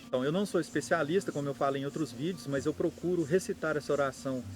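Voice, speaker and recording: A Brazilian man hosting a channel, explaining at an unhurried pace and thinking aloud with small restarts. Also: a little high, darkish tone. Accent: Brazilian Portuguese. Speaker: occult channel host. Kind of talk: explanatory monologue.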